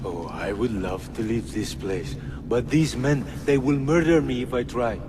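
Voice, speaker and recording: A man's voice: mid-range voice, a little slow, lightly acted. An actor playing a frightened, pleading working man, meek and plaintive, in a video game cutscene. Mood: fearful. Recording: long cavernous reverb, loud background noise.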